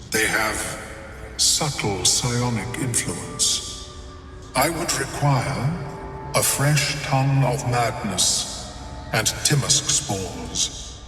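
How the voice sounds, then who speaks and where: Mind flayer for a game: unhurried, polite, clinical intellectual monster, cavernous echo, faint music behind. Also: male voice, mid-range voice, fairly bright tone. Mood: measured, cautioning. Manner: formal, measured, clinical. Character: calm scholarly male mind flayer, detached, politely clinical. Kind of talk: video game dialogue acting. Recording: echoing hall with long reverb, faint music.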